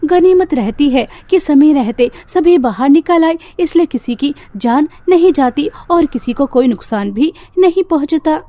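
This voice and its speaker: A woman storyteller with a very high voice and a soft, dark tone. She narrates a scripted cartoon tale. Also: lively intonation, measured pace, few pauses, dry recording.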